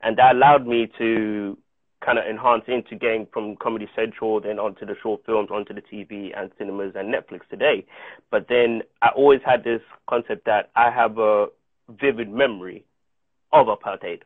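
A man's voice: on the low side, fairly even intonation, normal speed, no echo. A man in his thirties from South Africa, whose first language is Chichewa, talking earnestly and reflectively as an interview guest over a video call. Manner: earnest, reflective storytelling with many restarts. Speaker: guest actor. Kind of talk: casual interview. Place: home room on a video call. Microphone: video call with earphone mic. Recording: tinny dry phone-like call audio.

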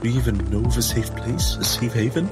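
A man's voice: medium pitch, fast. Earnest man roleplaying over music. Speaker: player in a tabletop game. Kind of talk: in-character roleplay dialogue.

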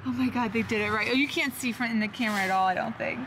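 A young woman vlogging to a camera at arm's length, excitable and quick to giggle, first in a car and then outdoors. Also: on the high side, slight background noise, low-quality recording. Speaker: homestead vlogger. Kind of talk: casual vlog chat.

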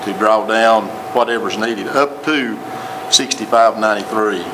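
A man's voice: fairly low pitch, unhurried. A man with a Tennessee accent giving a plainspoken report to a city council, repeating himself as he explains. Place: council chamber with audience. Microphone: table microphone. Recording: noisy council chamber recording.

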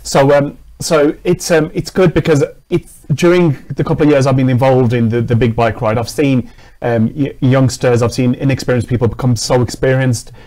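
Male guest, mid-pitched, steady and a bit halting, studio interview. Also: low-quality recording. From England. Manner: steady, hesitant with frequent ums. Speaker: charity cycling volunteer guest.

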